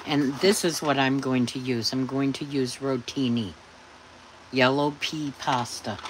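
A woman's voice: very deep voice, steady tone, unhurried. A woman, chatting with her viewers while she cooks in her home kitchen. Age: 60s. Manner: chatty, talks straight to viewers. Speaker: cooking vlogger. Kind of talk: casual cooking demo. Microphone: phone camera on the counter. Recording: loud background noise.

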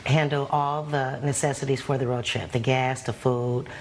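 A low-voiced woman testifies as the plaintiff and insists on her innocence. She speaks defensively and denies the claims against her. Faint courtroom ambience sits behind her. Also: little pitch movement, normal speed, slight background noise. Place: TV courtroom set.

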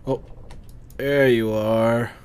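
A man's voice: medium pitch, steady tone, drawn-out words, darkish tone. A man giving casual, profane gameplay commentary, muttering to himself as he plays. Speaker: video game player. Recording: noisy, game gunfire behind. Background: game ambience and gunfire.

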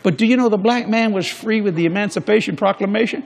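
A man's voice: high voice, quick pace, gravelly. An older man preaching a sermon on a large church stage before a congregation, folksy and jokey, acting out quoted people in different voices.

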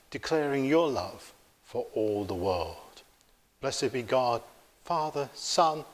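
A middle-aged man leading worship as a priest, praying in a solemn, formal and measured way. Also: medium pitch. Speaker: priest leading a church service. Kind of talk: solemn liturgical prayer. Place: inside a church, at the altar. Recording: reverberant church interior.

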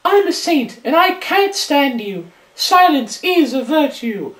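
A woman's voice: high-pitched, very animated, slow, exaggerated voice. A woman playing a prim, haughty female saint in a comic stage play. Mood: prim, curt. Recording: echoey room, distant microphone.

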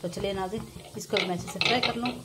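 Female home-cooking vlogger, unhurried and homely, speaking to viewers over a sizzling pan. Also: mid-range voice, fairly even intonation, very noisy. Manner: addresses viewers often as nazreen, unhurried and homely. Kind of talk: casual step-by-step cooking narration. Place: home kitchen beside frying pan. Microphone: phone camera over the stove, hands only. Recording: home kitchen with loud frying sizzle. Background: oil sizzling in the pan.

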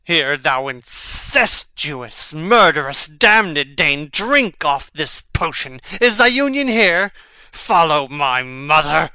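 An actor playing a bold, biting young prince with crisp theatrical diction in a Shakespeare audiobook. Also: male voice, a little high, very animated, slow, sharp tone, no echo, full character voice. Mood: outraged, vengeful. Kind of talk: dramatic Shakespeare audiobook reading.

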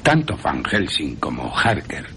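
A man with an accent from Spain narrating a horror story for radio in a theatrical, suspenseful way with long pauses. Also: a bass voice, huge pitch swings, bright, forceful tone, slight background noise. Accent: Spanish from Spain. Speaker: radio drama narrator and presenter. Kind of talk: dramatic scripted horror narration.

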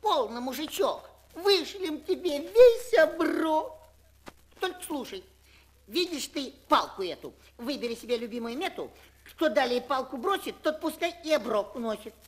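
A performer voicing a cheeky, easily scared young imp in a children's fairy-tale record, wheedling and excitable. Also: high voice, normal speed. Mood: wheedling, goading. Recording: noisy 1970s vinyl record.

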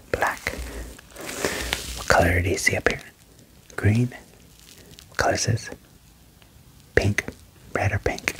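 Low whispering man, ASMR roleplay. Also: poor audio. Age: young adult. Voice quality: soft, breathy whisper. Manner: slow, soothing, reassuring, repeating short praise. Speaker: ASMR roleplayer playing an alien doctor. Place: small indoor room at home. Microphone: close desk microphone with furry windscreen.

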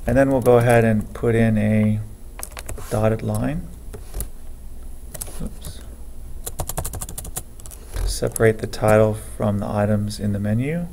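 A man's voice: on the low side, creaky, dark tone, a little hiss or hum. A man walking through code step by step in a screencast lesson, thinking aloud as he types. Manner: slow, methodical, thinking aloud while typing. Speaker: programming teacher. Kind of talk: screencast coding tutorial. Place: at a computer, keyboard typing heard.

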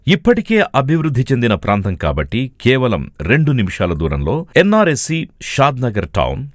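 A man's voice: medium pitch, huge pitch swings, a little fast, no echo, studio quality. A man reading a scripted promotional voice-over as an advertisement announcer.

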